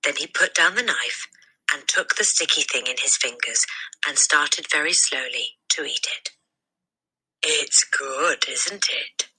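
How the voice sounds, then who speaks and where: Low, very bright, husky woman storyteller. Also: moderate pace, rough recording. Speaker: storybook reader. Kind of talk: expressive scripted read-aloud of a children's story.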